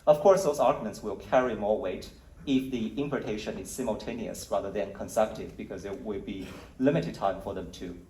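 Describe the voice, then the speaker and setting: A man giving a conference talk in a low voice, walking his listeners through findings in a measured, explanatory way with occasional fillers. He is picked up from some distance, and the sound rings around a large, roomy hall. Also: warm tone. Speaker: conference speaker presenting survey results. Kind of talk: conference presentation.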